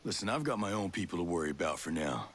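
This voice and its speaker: An actor playing a gruff, wry soldier in a video game, speaking in a dry, blunt, husky voice. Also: male voice, low voice, lively intonation, quick pace. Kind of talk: video game cutscene acting.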